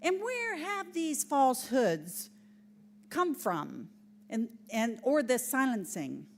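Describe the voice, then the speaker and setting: A woman's voice: high voice, very animated, takes it slowly, slight background noise, exaggerated voice. A woman playing Mary Magdalene, a defiant, outspoken disciple of Jesus, blunt and indignant in a church dramatic reading.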